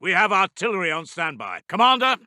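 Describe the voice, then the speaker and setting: Casting: brisk British wartime intelligence officer for a strategy game; sharp, clipped, formal delivery, dry close recording. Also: male voice, high-pitched, sing-song, dry recording, full character voice. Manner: clipped, formal, urgent military reporting. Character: brisk, dutiful British Second World War intelligence officer. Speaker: video game intelligence announcer. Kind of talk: scripted military game voice lines.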